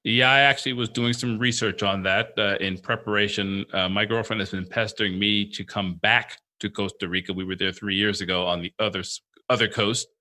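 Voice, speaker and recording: Bright-toned man co-hosting a chat show. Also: fairly low pitch, steady tone, a little fast, few pauses. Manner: rambling, storytelling, many restarts. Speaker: podcast co-host. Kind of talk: casual interview chat.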